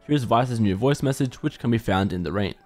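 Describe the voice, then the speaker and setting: Fast-talking male gaming commentator. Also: mid-range voice, expressive, darkish tone. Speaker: video game lore presenter. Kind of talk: scripted video commentary.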